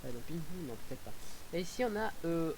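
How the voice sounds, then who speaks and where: Young male gaming vlogger, slightly high voice, hesitant and rambling, game engine noise and faint hiss behind him. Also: fast talker, low-quality recording. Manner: rambling, hesitant, many fillers and restarts; excitable whoops while driving. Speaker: gaming YouTuber. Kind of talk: casual gameplay commentary.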